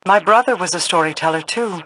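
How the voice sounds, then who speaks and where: A woman playing an aloof, cryptic queen in a video game, speaking in a formal, measured, imperious way. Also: lowish voice, fairly bright tone, dry recording, low-quality recording. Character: regal, aloof, cryptic queen of an otherworldly people.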